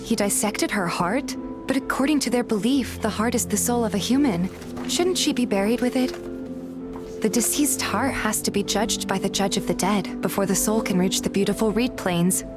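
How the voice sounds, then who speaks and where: A woman playing a curious, polite young game heroine, narrating in the first person and speaking her dialogue in an earnest, gentle manner. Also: medium pitch, moderate pace, music in the background, lightly acted.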